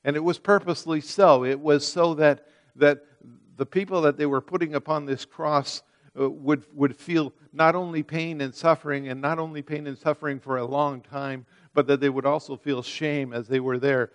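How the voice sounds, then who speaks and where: A man's voice: a little high, fairly even intonation, moderate pace, smooth. A man preaching a sermon, reading scripture and then explaining it in an earnest manner, often repeating words as he restarts a phrase.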